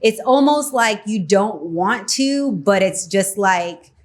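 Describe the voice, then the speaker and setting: A woman's voice: medium pitch, expressive, slow pace. A young woman from the Philippines hosting a casual interview, chatty and often asking "you know what I'm saying". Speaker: podcast host.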